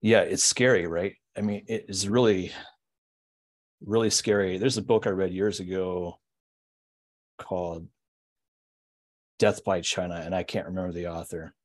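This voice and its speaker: A man's voice: deep voice, fairly even intonation, a little slow, pauses often. A man hosting a podcast in casual chat, easygoing and agreeable, often chiming in with short yeahs.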